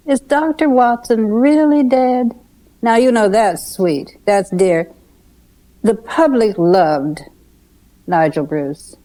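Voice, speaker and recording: Older woman, low mellow voice, reminiscing. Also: poor audio. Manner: halting, fond, emphatic repetition. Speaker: reminiscing guest host. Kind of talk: unhurried personal reminiscence.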